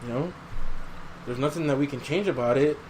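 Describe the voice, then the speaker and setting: A man's voice: mid-range voice, fairly quick, a little mellow, a little hiss or hum, rough recording. A man hosting a podcast in casual chat, swearing freely and giving his opinions in slangy talk. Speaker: podcast host.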